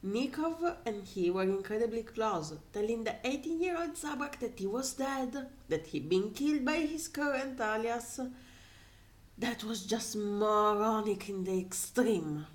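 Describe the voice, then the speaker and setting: A woman reading a story aloud casually, in an animated way, breaking off to add comments of her own. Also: fairly high pitch, a little slow. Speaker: fan fiction reader.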